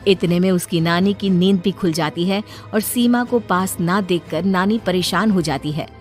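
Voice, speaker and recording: A woman's voice: fairly low pitch, normal speed, soft background music. A woman reading a scripted horror story as its narrator.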